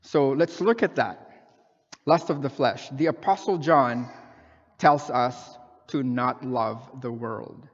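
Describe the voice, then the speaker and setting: A man's voice: mid-range voice, takes it slowly, dull audio. Filipino-raised man preaching in a reverberant hall. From Philippines. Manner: conversational preaching, frequent "okay?" and "right?" check-ins. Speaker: pastor. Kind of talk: sermon.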